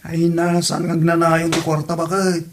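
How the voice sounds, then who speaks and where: A man's voice: fairly high pitch, completely flat delivery, unhurried, a little hiss or hum, natural voice. A man acting an exasperated husband who grumbles about his family, speaking with an Ilocano accent in a comedy drama. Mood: grumbling.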